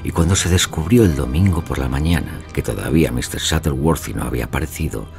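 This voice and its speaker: Very deep gravelly pompous storyteller. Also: male voice, quick pace. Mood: grave, knowing. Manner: self-assured, pompous. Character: theatrical male storyteller playing an Oedipus-like sleuth. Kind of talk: dramatic scripted narration. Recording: music behind the voice.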